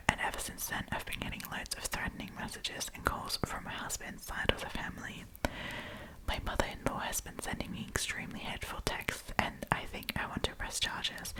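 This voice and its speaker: A woman's voice: a little fast, rough recording. Female ASMR storyteller, entirely whispered, informal and chatty, reading aloud with offhand commentary. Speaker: host reading and reacting to online stories.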